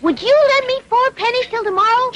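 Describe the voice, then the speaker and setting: A woman's voice: very high voice, brisk, fairly bright tone. A woman voicing a naive, eager wooden puppet boy in a dubbed cartoon, childlike and earnest. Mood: eager, pleading.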